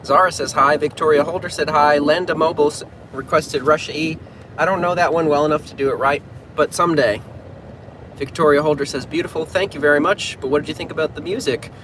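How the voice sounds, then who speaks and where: A man's voice: a little high, fairly even intonation, fairly quick. A man from New England chatting casually on a livestream while driving, narrating his route and reading out fan comments. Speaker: pianist livestreaming to fans. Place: inside a moving car. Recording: loud road and engine noise. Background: loud car and traffic noise.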